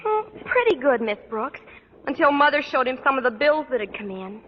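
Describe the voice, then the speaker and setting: A woman's voice: high-pitched, big pitch swings, moderate pace, slight background noise. A woman playing a sweet, polite teenage schoolgirl with a gushing manner in an old radio sitcom. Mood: friendly. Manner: polite, bright-eyed, gushing. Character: sweet, flattering teenage schoolgirl, principal's daughter. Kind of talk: 1940s-50s radio sitcom acting. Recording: muffled reverberant old radio, studio audience.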